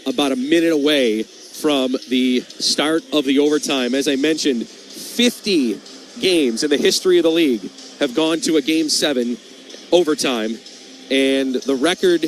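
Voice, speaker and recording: A man's voice: on the high side, unhurried, a little hiss or hum. A man hosting a live radio intermission show during a hockey game, broadcasting from the arena.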